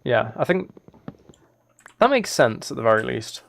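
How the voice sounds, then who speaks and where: Guy streaming a game, bit of vocal fry, chatting casually and second guessing himself out loud. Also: medium pitch, very animated, a little slow. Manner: thinking aloud, self-correcting. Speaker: live streamer. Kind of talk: casual gaming livestream commentary, chatting with viewers.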